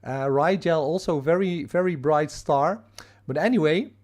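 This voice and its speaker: Dutch man, halting live-stream talk. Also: fairly high pitch, a little mellow. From Netherlands. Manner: halting, many fillers and restarts; reads and answers viewer chat. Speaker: astrophotography livestream host. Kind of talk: casual live-stream explanation.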